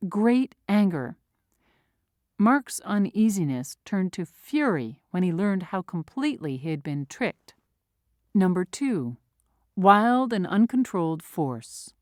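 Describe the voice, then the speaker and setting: Slow, lively-voiced woman reading definitions. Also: lowish voice, dry recording, clean studio sound. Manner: careful dictionary-style enunciation. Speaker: vocabulary reader. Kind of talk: slow, clear read-aloud of word definitions.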